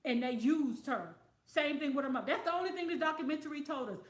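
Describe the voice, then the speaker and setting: A woman's voice: high-pitched, expressive, fast talker, echoey room. A woman hosting a casual livestream, talking bluntly with plenty of profanity and losing her voice. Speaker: livestream host reading viewer comments.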